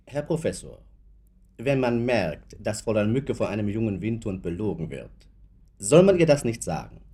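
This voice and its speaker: An actor playing a sober, principled lawyer in a German radio drama, formal, reasoned and challenging. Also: male voice, on the low side, big pitch swings, moderate pace, mellow, slightly rough, full character voice. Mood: insistent, earnest. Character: sober, principled male lawyer, rational and insistent.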